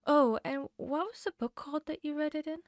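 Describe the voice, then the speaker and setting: A woman playing a confiding, bookish vampire hunter in a fantasy ASMR roleplay, talking intimately with pauses. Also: high voice, no echo, clean studio sound, natural voice. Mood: curious, gentle. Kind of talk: ASMR roleplay audio drama.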